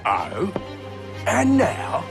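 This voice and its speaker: Game thug: jeering British bully, highish gravelly voice, slow and menacing, music behind. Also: male voice, wildly swooping pitch, exaggerated voice. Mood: taunting. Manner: jeering, drawn-out. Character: taunting, belligerent British ruffian spoiling for a fight. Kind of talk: dramatic video game acting.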